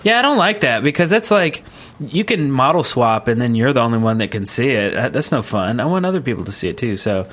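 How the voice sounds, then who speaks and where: A man's voice: mid-range voice, very animated, a little fast, few pauses, a bit bright. A man co-hosting a gaming podcast in a casual, easygoing way, reading listener emails and reacting to them. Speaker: podcast co-host.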